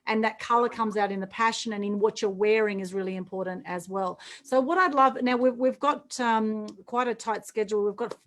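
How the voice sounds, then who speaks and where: A woman's voice: mid-range voice, a little fast, hardly any pauses, a bit bright. A woman hosts an online meetup. She sounds upbeat and keeps checking in with "right?". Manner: upbeat, tags questions with "right?". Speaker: host. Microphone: video call.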